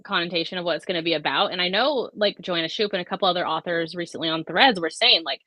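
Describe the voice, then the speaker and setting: A woman's voice: on the low side, quick pace, pushed and bright, no room sound. A woman co-hosting a casual livestream chat, conversational and opinionated, often checking in with "you know". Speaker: livestream co-host. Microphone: video call livestream.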